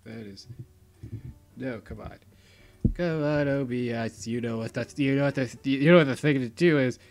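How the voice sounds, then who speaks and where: A man's voice: mid-range voice, normal speed, a little mellow. A young man giving rambling, mock-boastful commentary while playing a game at his computer. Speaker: YouTuber. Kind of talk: casual gameplay commentary.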